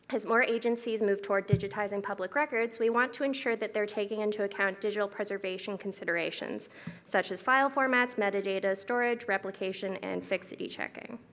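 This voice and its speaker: A woman presenting archival work at a conference lectern in a steady, businesslike way. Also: mid-range voice. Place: large hall, at a lectern. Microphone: podium microphone. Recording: hall reverb.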